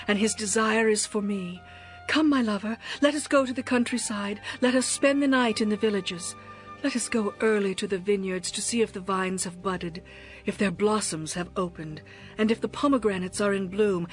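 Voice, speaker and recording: A woman playing a passionate, devoted young bride in a dramatized scripture reading, with a tender, expressive, poetic delivery. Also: medium pitch, lightly acted.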